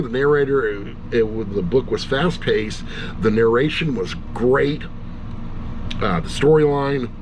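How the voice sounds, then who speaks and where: A middle-aged or older man giving a casual, rambling review in a wry, self-deprecating way, as the host of his own channel. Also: mid-range voice. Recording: steady loud air-conditioner-like background hum.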